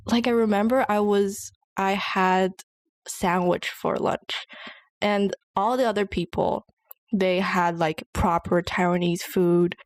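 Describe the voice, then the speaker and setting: A woman's voice: mid-range voice, steady tone, takes it slowly, no echo. A young woman co-hosting a podcast, telling stories in a casual chat and filling her talk with "like". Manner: chatty storyteller, many "like" fillers. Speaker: podcast co-host.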